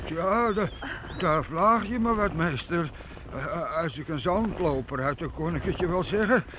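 A simple, fearful gatekeeper in a radio play. His voice is pitched high and he stammers deferentially, halting as he goes. Faint noise sits behind him. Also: animated. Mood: timid, hesitant. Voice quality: stammering, hesitant. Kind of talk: radio drama acting.

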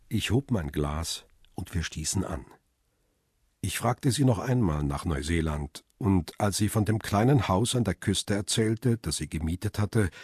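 Low, husky middle-aged man, reflective. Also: darkish tone, no echo, studio quality, full character voice. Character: world-weary middle-aged male paparazzo narrating his story. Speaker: narrator and lead actor in a crime radio play. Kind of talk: radio drama, first-person narration and dialogue.

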